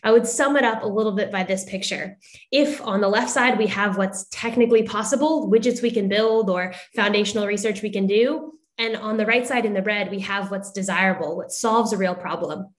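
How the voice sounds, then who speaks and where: A woman's voice: medium pitch, fairly quick. A middle-aged American woman from the United States giving an upbeat, articulate prepared presentation as moderator on a video call. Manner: upbeat and articulate, occasional fillers. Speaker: moderator introducing startups.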